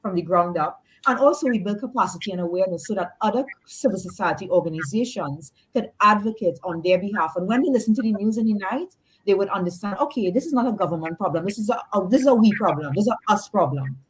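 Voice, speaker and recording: A woman with a Trinidadian accent speaks as a radio guest at an ordinary middle pitch. She explains her work earnestly and patiently, circling back and restarting now and then. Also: quick pace, rough recording. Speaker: guest researcher. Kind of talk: radio interview.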